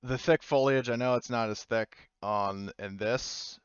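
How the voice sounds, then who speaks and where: A man's voice: medium pitch, unhurried, clean tone, no room sound. A man acting as game master, casually narrating a tabletop game in informal language over lo-fi audio.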